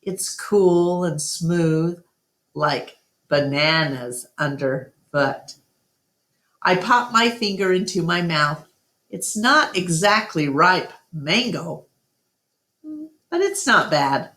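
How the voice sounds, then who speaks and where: A woman reading a children's book aloud as a storytime narrator, with deliberate, expressive phrasing. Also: on the low side. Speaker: storytime reader. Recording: roomy, echoey home recording.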